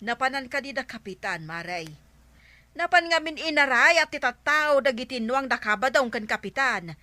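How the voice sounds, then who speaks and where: Fretful high-pitched Ilocano village woman. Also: animated, normal speed, pushed and bright, no echo. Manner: exclamatory, fussing. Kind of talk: comedy radio drama acting.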